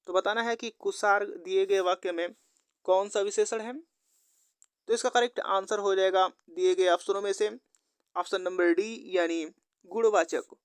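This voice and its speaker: A woman narrates an exam-practice lesson as a teacher. Her voice is smooth and clear at a middle pitch, with very little room sound. She is methodical and instructional, restating each question and answer. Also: no room sound, clean studio sound. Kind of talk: scripted quiz lesson narration.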